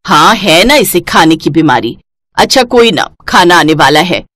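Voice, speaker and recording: A woman's voice: fairly low pitch, sing-song, normal speed, a bit bright, dry recording. A woman voicing a nagging, easily embarrassed middle-aged mother-in-law in a cartoon family story. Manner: nagging, chiding.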